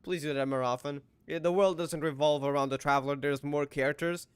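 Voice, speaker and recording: A man casually thinking aloud and reacting excitedly while playing a story game. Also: a little high, brisk, smooth, no echo. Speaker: gaming YouTuber. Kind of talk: let's play reaction commentary. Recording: voice over loud game music.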